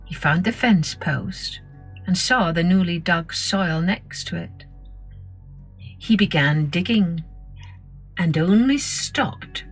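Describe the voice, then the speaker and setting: A woman narrating a scripted children's story in a measured, expressive storytelling manner. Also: on the low side, slightly rough, poor audio. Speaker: storytelling podcast host.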